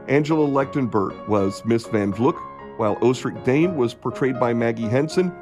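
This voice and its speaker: A man narrating and announcing a radio play in a scripted style. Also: mid-range voice. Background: music.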